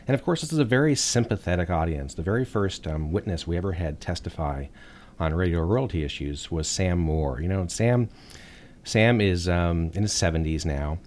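A man with a very deep voice tells stories on a podcast panel. He advocates for musicians and recalls meetings with lawmakers in an anecdotal way. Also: animated, normal speed, slight background noise. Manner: anecdotal, warm storytelling with laughs.

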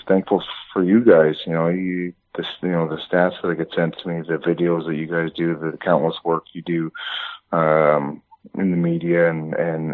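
A man, a hockey coach, being interviewed over the phone, talking in long rambling runs with many restarts. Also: deep voice. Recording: tinny phone line.

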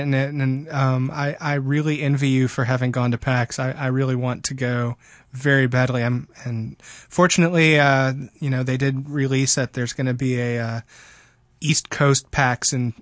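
Close, dry-sounding man hosting, halting delivery. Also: mid-range voice, level tone, no echo. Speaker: podcast host. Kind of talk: casual chat.

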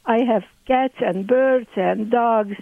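A woman's voice: a little high, slow, gravelly, no echo. A woman in her eighties with a Hungarian accent, chatting as a landlord guest in a radio interview, speaking chatty broken English. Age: 80s. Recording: tinny phone line.

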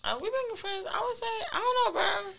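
A woman's voice: very high voice, quick pace, gravelly, fairly bright tone. A young woman, a guest in a casual podcast chat. She talks in a laid-back, candid way and laughs often.

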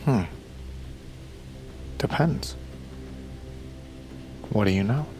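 An actor playing an easygoing, gentle colonist in an audio drama, casual and soft-spoken in a naturalistic style. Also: male voice, lowish voice, extremely slow, faint music behind. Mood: gentle, curious.

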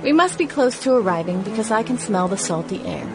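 A woman's voice: mid-range voice, expressive, fairly quick, music in the background, close to an everyday voice. A woman playing a young lady of 1916 reading her diary in a radio play, with prim, old-fashioned, wry phrasing. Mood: amused, observant.

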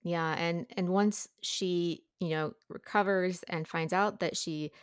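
A woman's voice: lowish voice, fairly even intonation, slow pace, smooth, no echo, studio quality. A woman talking casually and enthusiastically as a guest reviewer in an informal book discussion.

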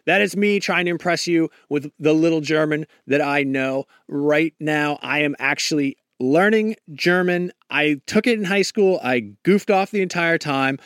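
A man's voice: on the high side, measured pace, few pauses, a bit bright, smooth, no echo, clean studio sound. A man casually reading a podcast ad, joking and rambling with fillers as he goes. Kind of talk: casual ad read.

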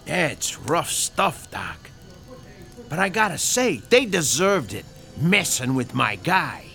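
A man's voice: fairly high pitch, big pitch swings, slow pace, vocal fry, sharp tone, exaggerated voice. A man playing a tough, streetwise 1930s mob boss in a radio drama, with faint music underneath. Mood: gruff. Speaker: Louie Carboni.

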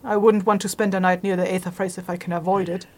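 A woman's voice: on the low side, level tone, fast talker, a little mellow, lightly acted. A woman playing a stern, sturdy, short-tempered female furred wanderer in a fantasy audio drama, with a dry, scolding, commanding manner. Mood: dry, businesslike.

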